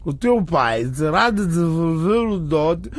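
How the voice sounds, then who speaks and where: An actor playing a greedy, gloating husband in a radio drama, smug and taunting. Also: male voice, a little high, lively intonation, slow, dry recording. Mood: smug, mocking.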